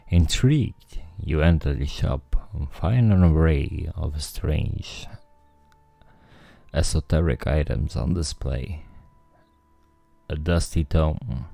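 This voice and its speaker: A man's voice: extremely low pitch, creaky, mellow, no room sound. A man streaming a game, thinking aloud and reading the story text himself. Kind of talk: casual game commentary with read-aloud narration. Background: faint music.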